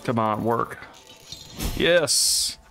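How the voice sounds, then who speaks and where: A man's voice: medium pitch, drawn-out words, creaky, pushed and bright. A man co-hosting a let's play, chatting casually and reading item stats aloud as he plays. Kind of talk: casual gaming chat. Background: faint game music.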